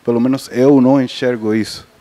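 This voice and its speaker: Man, Cuban accent, Spanish native speaker; mellow, halting panel talk with many restarts. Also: medium pitch, fairly even intonation. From Cuba. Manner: hesitant, many fillers and restarts. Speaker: festival panelist on film distribution.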